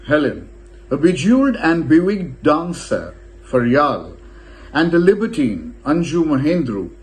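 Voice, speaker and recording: Man narrating with a lively, rising voice. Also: fairly high pitch, poor audio. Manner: expressive, lively reading with shifting emphasis. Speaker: narrator reading a film review. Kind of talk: scripted narration.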